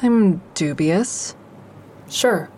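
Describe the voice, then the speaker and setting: A woman's voice: lowish voice, animated, extremely slow, darkish tone, a little hiss or hum. An actress playing a frank, sardonic young woman who narrates her own story in an audio drama in a confessional, wry way. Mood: wry, guarded. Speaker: actor in a fiction podcast.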